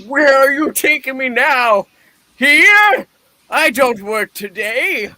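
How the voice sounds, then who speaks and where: An actor playing a frightened, remorseful old miser in a theatrical radio play reading, with dramatic, halting, pleading delivery. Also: sits high, expressive, sharp tone, dry recording, exaggerated voice. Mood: distressed, bewildered. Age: older.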